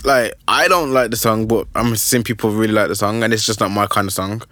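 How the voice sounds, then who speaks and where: Low-voiced young man rambling about music. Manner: rambling, animated, quick restarts. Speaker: podcast host. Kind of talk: casual music chat.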